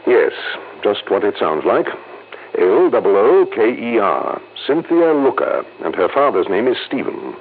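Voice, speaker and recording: Anxious American company official, formal and hesitant, lively swooping delivery. Thin, hissy vintage radio sound. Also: male voice, on the low side, a little slow, gravelly, phone-quality sound, heavily acted. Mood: fussy, uneasy. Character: fussy, anxious male insurance company official. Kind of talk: 1950s American radio drama acting.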